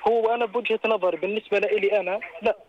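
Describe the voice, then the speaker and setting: A man's voice: sits high, fairly even intonation, very fast, highs cut off. A man in his thirties with a Jordanian Arabic accent, chatting casually and telling anecdotes as a caller. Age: 30s. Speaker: radio show caller. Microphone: phone call.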